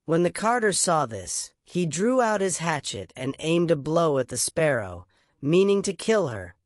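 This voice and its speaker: A storyteller giving an expressive scripted reading in a storybook narration style. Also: mid-range voice, unhurried, dry recording, studio quality.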